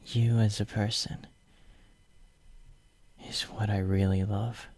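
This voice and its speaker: A man playing a devoted, possessive young hero in a romantic ASMR roleplay, murmuring tenderly and intimately in a breathy whisper close to the microphone. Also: fairly low pitch, level tone, slow, a little mellow. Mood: adoring. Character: devoted, possessive young male hero soothing his lover.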